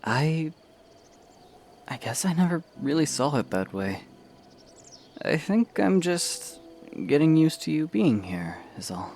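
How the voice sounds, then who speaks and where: A man playing a reserved but teasing young adventurer in a fan audio drama, casual and a little halting. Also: fairly high pitch, very animated, darkish tone, a little hiss or hum, full character voice. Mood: hesitant. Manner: casual, halting when sincere.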